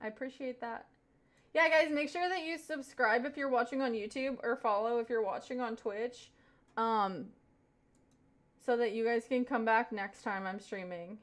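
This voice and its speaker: Chatty woman streamer, higher voice. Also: normal speed. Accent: light Southern US. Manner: chatty, thanks viewers over and over. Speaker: live streamer. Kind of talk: casual chat reading viewer comments.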